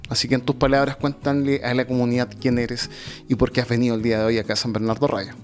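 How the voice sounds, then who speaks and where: Man, Chilean accent, radio interviewer, medium pitch, informal conversational style. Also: level tone, fast talker, soft background music.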